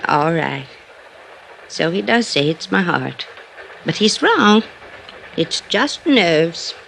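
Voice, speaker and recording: An actress playing a frail, wistful older socialite in a radio drama, dreamy and halting, with a creaky voice. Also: female voice, fairly low pitch, big pitch swings, a bit bright, full character voice. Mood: frail denial. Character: frail, wistful old socialite woman clinging to illusions. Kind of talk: old-time radio drama acting. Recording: noisy vintage radio recording.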